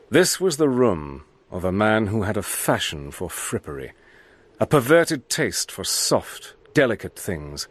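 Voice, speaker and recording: A wary, dry-humoured British agent telling his own tale. His voice is low, yet it moves expressively through each sentence. The narration is calm and wry. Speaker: narrator and hero. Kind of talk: first-person radio drama narration.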